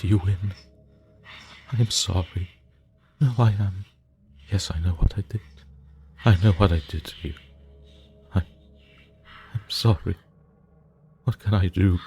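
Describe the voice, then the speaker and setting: A man's voice: deep voice, a little hiss or hum. A man voicing a haunted, whispering killer. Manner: whispering, pleading, breaking into frantic shouts. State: exhausted and terrified. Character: haunted guilt-ridden man writing a journal. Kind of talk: horror story reading.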